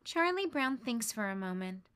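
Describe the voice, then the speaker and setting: A woman teacher reading a children's picture book aloud, expressive and lifting her voice for the characters' lines. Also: moderate pace, smooth. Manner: expressive, lifts pitch for quoted lines.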